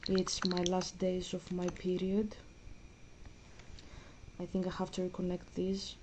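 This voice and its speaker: A young woman, chatting casually and rambling with many pauses and fillers while playing a game. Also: on the low side, monotone, a little mellow, a little hiss or hum, low-quality recording. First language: Greek. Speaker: gaming vlogger. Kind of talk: casual gaming chat.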